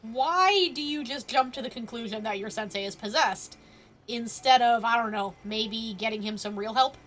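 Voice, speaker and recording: High-voiced woman, playful game streamer. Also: moderate pace, a bit bright, a little hiss or hum. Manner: playful, sardonic asides to characters. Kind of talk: casual live game commentary.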